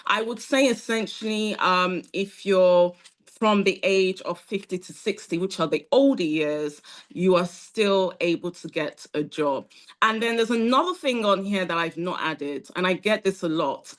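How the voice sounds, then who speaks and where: A woman leading a live online class, speaking directly and encouragingly. Her pitch sits in the middle of the range. Fillers come often as she talks. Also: unhurried. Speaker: instructor at a training academy.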